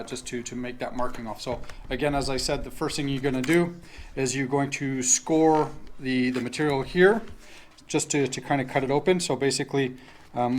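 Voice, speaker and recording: A man's voice: medium pitch, a little hiss or hum. A man hosting a casual how-to tutorial, explaining step by step in a loose, hesitant way and often correcting himself. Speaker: host of a tutorial channel. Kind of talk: casual hands-on tutorial narration.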